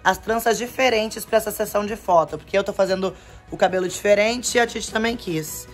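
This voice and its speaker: A woman's voice: medium pitch, fairly quick. Chatty woman vlogging with a phone. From Brazil. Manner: chatty and affectionate, talking to camera and to her kids. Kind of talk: casual family vlog. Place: country house veranda and garden. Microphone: phone camera at arm's length. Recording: background music.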